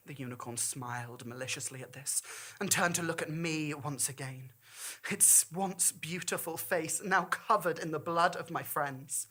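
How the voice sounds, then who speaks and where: A woman's voice: deep voice, moderate pace, sharp tone. A woman performing a dramatic first-person story monologue with intense, theatrical delivery. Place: small theatre stage.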